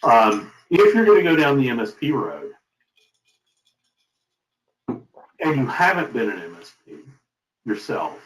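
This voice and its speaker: A middle-aged man being interviewed as a company founder, giving advice in an emphatic, deliberate conversational way. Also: mid-range voice, wildly swooping pitch, a bit bright. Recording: roomy, echoing sound.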